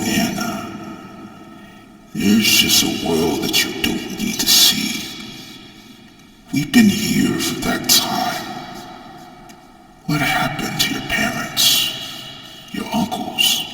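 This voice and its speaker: A man playing a menacing, smugly taunting villain for game voice lines, with a deep, gravelly voice and theatrical delivery. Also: heavily acted. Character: menacing, taunting male villain, smugly mocking his victims. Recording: echoey hall, distant mic, noisy.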